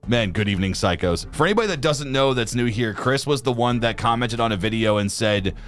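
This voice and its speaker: A man's voice: medium pitch, lively intonation, brisk, fairly bright tone, soft background music. A man from Ohio co-hosting a casual livestream, reading viewer comments aloud in an easygoing way with lots of fillers. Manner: easygoing, reads chat aloud with frequent "let's see here" and fillers. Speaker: co-host of a reaction channel livestream.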